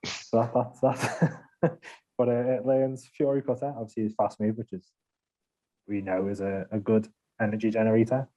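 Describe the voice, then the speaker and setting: Mellow man, rambling gamer chat. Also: fairly low pitch, flat delivery, normal speed, rough recording. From Liverpool, England. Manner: rambling, laughs a lot, self-deprecating. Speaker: podcast panelist. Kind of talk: casual gaming chat.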